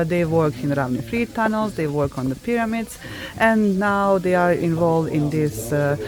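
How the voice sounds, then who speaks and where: A project staff member giving an informal update to camera in a hesitant, pausing way. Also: medium pitch.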